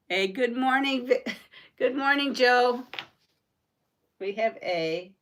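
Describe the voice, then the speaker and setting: A woman's voice: on the high side, extremely slow, a bit bright. A woman from Rico, hosting a live quilting stream. She chats with viewers, reads out comments and quiz questions, and laughs often. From Puerto Rico. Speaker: quilting channel host.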